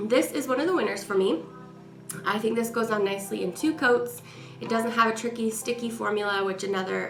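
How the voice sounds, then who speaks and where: A woman vlogger giving a chatty, rambling product review while stuffy and congested from a cold. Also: medium pitch. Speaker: beauty vlogger. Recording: echoey room with faint music.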